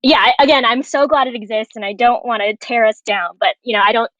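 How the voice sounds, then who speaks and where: Female panelist, highish voice, chatty with quoted asides, dry and slightly muffled lo-fi sound. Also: quick pace, fairly bright tone, dry recording. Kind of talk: casual panel discussion.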